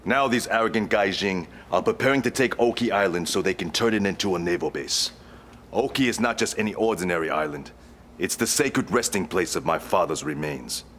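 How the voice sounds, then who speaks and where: A stern, proud prince. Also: male voice, deep voice, fairly quick, a bit bright, a little hiss or hum. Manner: formal, contemptuous briefing. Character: proud young male crown prince, stern and vengeful. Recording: game cutscene audio over music.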